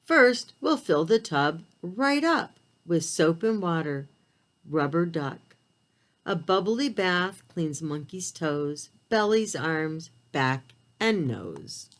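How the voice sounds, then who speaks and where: Slow, animated woman reading to preschoolers. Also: low voice. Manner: simple child-directed asides and questions; expressive storytelling delivery. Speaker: preschool read-aloud storyteller. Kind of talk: picture-book read-aloud for young children.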